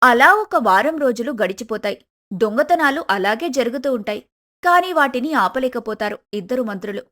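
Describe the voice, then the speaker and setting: Higher-voiced woman narrating a story. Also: expressive, moderate pace, a bit bright.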